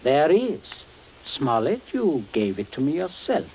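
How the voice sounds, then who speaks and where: A man's voice: a little high, wildly swooping pitch, a little slow, exaggerated voice. An actor playing a cold, commanding middle-aged father in a 1940s radio drama, speaking in a clipped, menacing, authoritative way. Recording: scratchy, tinny 1940s radio broadcast.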